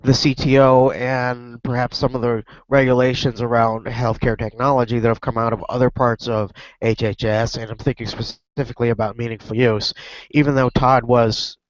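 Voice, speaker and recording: A man's voice: mid-range voice, flat delivery, normal speed, dry recording, dull audio. A man with a cold-stuffed voice, a reporter rambling through a casual web broadcast chat with many restarts and fillers. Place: airport lounge.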